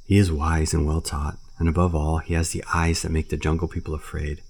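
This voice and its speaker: A man voicing a proud, stern male panther who scolds slowly and impatiently. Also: extremely low pitch, flat delivery, darkish tone, slightly rough, heavily acted. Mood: scornful.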